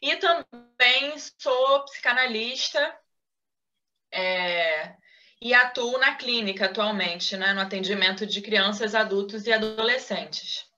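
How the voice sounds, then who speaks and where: Woman guest, Rio-Salvador accent, bright and slightly high, measured academic manner. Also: lo-fi sound. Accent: Rio de Janeiro with Salvador traces. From Brazil, Salvador and Rio de Janeiro. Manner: measured, academic, tags phrases with "né". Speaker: guest, philosopher and psychoanalyst. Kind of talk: interview answers.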